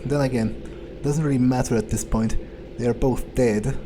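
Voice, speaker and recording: A man playing a cynical, crude vampire who muses to himself in a halting, sardonic way during an improvised video game roleplay. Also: medium pitch, fairly even intonation, noisy background, natural voice. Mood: musing. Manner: halting, musing, sardonic, swearing.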